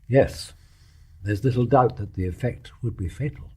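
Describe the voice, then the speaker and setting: An actor playing a blunt, composed British family doctor with a formal manner in a radio drama. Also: male voice, medium pitch, normal speed, dark tone, husky. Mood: measured. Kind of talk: British stage-play radio acting.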